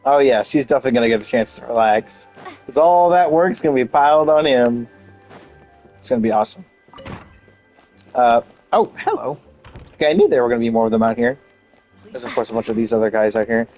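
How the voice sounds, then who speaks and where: A man's voice: medium pitch, brisk, a little mellow. A man giving casual video game commentary, rambling and thinking aloud as he plays. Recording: faint game music behind.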